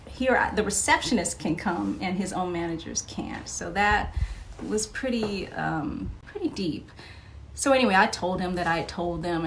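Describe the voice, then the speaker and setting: A woman's voice: mid-range voice, a little hiss or hum, poor audio. A middle-aged woman chatting casually as an interview guest, telling anecdotes and quoting remembered dialogue.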